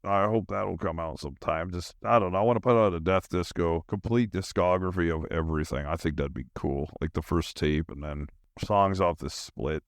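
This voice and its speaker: A man's voice: sits low, clean tone, no echo, clean studio sound. A middle-aged man hosting a solo podcast, tired and zoned out, rambling casually in slangy, meandering talk with trailing thoughts.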